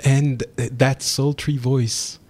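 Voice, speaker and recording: A man's voice: medium pitch, flat delivery, very slow, darkish tone. A man with a French accent hosting a podcast roundtable, chatty and laughing often. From France. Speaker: podcast host. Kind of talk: casual roundtable chat. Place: home in Paris.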